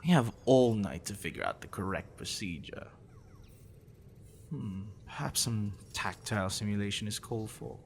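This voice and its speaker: Obsessive, smugly intellectual male professor played by a man for ASMR roleplay; low-pitched, animated, condescending, with whispered asides. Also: a little hiss or hum. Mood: teasing, clinical. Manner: condescending, teasing, deliberate, dropping into breathy whispers. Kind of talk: ASMR roleplay, addressing the listener intimately.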